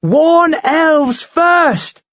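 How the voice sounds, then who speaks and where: Young woman warrior, determined and commanding, put on by a male narrator; pitched well up, dull recording. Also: takes it slowly, no echo. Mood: urgent. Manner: urgent, commanding.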